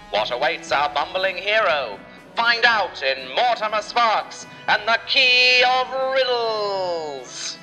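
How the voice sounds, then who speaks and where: Very bright, forceful male narrator. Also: on the high side, long reverb tail, poor audio. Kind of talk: dramatic scripted adventure-serial narration. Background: music.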